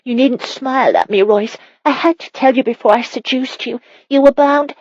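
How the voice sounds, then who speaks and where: An anxious young wife from a medieval romance audiobook as a woman narrator acts her. Her voice is husky and breathy, with pleading, drawn-out emotional delivery. The recording is close, dry and slightly dull. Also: high-pitched, a little mellow, dry recording, pitched above the performer's own voice. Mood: earnest, tipsy.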